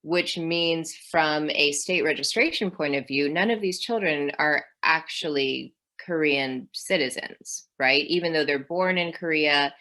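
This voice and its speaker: A woman historian in her thirties speaks as an interview guest in a low voice. She thinks aloud as she goes, with many ums and small restarts. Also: measured pace, few pauses, bright, forceful tone. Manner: thoughtful, with many fillers. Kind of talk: academic interview.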